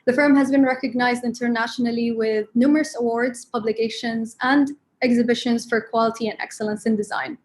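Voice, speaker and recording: A woman's voice: fairly high pitch, fairly even intonation, brisk, hardly any pauses. A woman moderating an online panel discussion, polite and using fillers.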